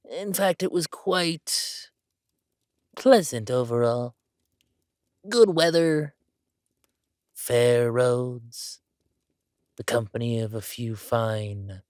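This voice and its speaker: A man's voice: medium pitch, very slow, long pauses, no room sound, clean studio sound. A man voicing a haughty, blunt count in a fantasy roleplay audio drama, with pompous, formal and condescending delivery. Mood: breezy, smug.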